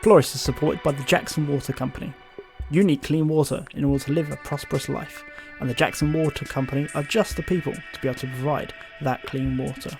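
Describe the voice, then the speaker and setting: A man's voice: fairly high pitch, fairly even intonation, fast, vocal fry, a little mellow, soft background music. A man playing an inquisitive history podcast host from a post-apocalyptic future, in a scripted interview style. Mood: measured, presenting.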